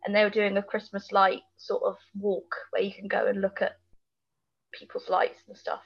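Female British podcast co-host, chatty and teasing, casual banter, mid pitch, slightly dulled audio. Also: completely flat delivery, moderate pace, gravelly, breathy edge, dull audio. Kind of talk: casual banter about a TV episode.

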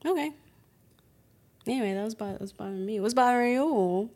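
A woman's voice: on the high side, fairly quick, a little mellow. A woman co-hosting a podcast, chatting casually and thinking aloud with fillers. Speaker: podcast co-host. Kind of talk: casual chat.

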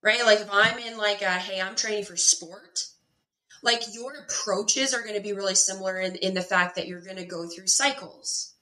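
A woman's voice: mid-range voice, moderate pace. Chatty woman in an echoey room. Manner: animated, lots of filler words and rhetorical "right?". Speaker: pelvic health and fitness expert guest. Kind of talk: casual conversational explaining.

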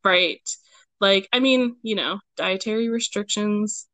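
A woman co-hosting a podcast in casual chat, animated, laughing often and quick to joke. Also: medium pitch, no room sound, poor audio. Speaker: podcast co-host.

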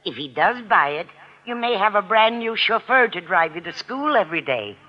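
A woman's voice: medium pitch, big pitch swings, moderate pace. An American actress playing a sweet, frank old landlady, motherly and matter-of-fact, in an old radio sitcom. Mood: frank curiosity. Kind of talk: 1940s-50s radio sitcom acting. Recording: dull old radio recording.

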